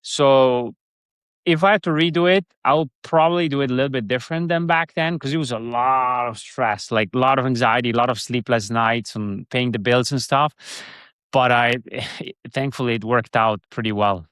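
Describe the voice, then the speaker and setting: A man's voice: medium pitch, moderate pace, a bit bright, clear, resonant tone, dry recording. A man from Switzerland with a Swiss German accent, a guest in a casual interview, rambling with restarts and fillers.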